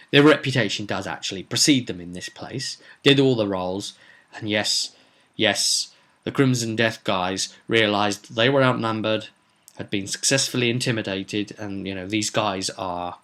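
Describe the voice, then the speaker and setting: A man casually recounting a tabletop roleplay session as game master, in halting, informal storytelling. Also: on the low side, sharp tone. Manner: halting, informal storytelling, voices characters' dialogue in passing.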